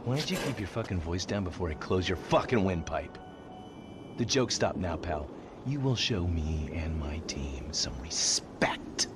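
An actor playing an arrogant, bullying American federal agent in a video game, smug and aggressive. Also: male voice, low-pitched, animated, a little slow, background noise. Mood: menacing. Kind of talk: video game cutscene acting.

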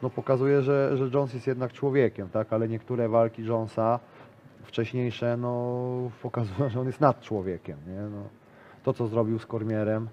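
A man's voice: mid-range voice, fairly even intonation, normal speed, mellow, highs cut off, soft background music. A man in his thirties, a grappler and interview guest, talking casually in a small studio, rambling with lots of fillers and restarts. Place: small studio with a decorated wall.

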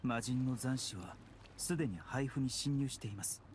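An actor playing a refined young doctor in an anime game, polite, gentle and measured, with clinical gravity. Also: male voice, medium pitch, slight background noise, lightly acted. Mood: grave, composed. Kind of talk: Japanese anime game acting. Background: background music.

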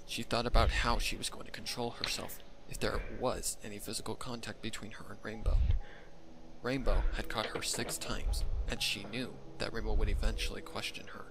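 A man's voice: on the low side, little pitch movement, moderate pace, vocal fry, noisy background. A man reading scripted fan-fiction narration as the storyteller. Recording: music loud behind the voice. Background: music.

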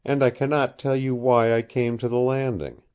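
A weary young woman around twenty, holding back secrets, speaking low and tired with a pleading softness, as a male narrator lifts his voice to play her. The voice sits well above the reader's own deep tone. It has a storybook feel. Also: little pitch movement, normal speed, clean tone, lightly acted.

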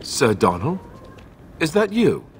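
An actor playing a young warrior companion in a fantasy video game, with an expressive, direct delivery. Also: male voice, on the high side, takes it slowly, slightly rough, heavily acted. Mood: surprised, concerned.

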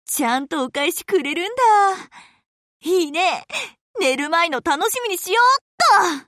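A woman's voice: very high voice, animated, measured pace, pushed and bright, dry recording, studio quality, full character voice. A woman voicing a breezy tomboy in an anime game, slangy and offhand. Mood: pleased. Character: breezy, rough-talking tomboy girl warship. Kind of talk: Japanese anime game voice acting.

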